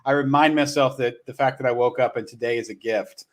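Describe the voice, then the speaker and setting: A man hosting a casual live-stream conversation, speaking earnestly and haltingly, often repeating his words as he goes. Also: mid-range voice, fairly even intonation. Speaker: host.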